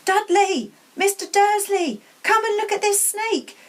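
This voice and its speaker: Woman as an excitable, high-pitched storybook boy. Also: measured pace. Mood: excited shouting. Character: excitable bratty boy, loud and urgent. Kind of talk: children's storybook reading.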